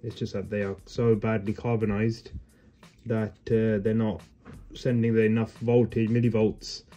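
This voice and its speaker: A man's voice: on the low side, flat delivery, unhurried, warm tone. A man, a heating engineer, casually explaining a repair on the job in a customer's home, matter-of-fact while working with his hands. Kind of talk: casual how-to demo on the job. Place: indoors in a customer's home, wooden floor.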